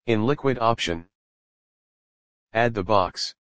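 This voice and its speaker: A man narrating a software tutorial voice-over in short, clipped step-by-step instructions with simple grammar. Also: lowish voice, slow, dry recording.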